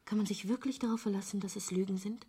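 Woman playing a breathy, doubting young painter. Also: medium pitch, fairly even intonation, quick pace, mellow, natural voice. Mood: anxious, hushed. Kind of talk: radio drama acting.

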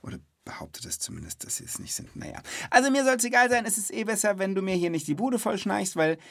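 A man hosting a children's knowledge podcast, explaining casually and colloquially with animated reactions. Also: high-pitched, fast talker, creaky, no room sound, studio quality.

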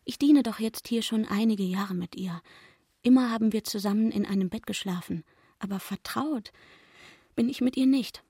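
An actress playing a young barmaid in a radio play, frank and gossipy, talking in a chatty, confiding way. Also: female voice, fairly high pitch, normal speed, mellow, slightly rough.

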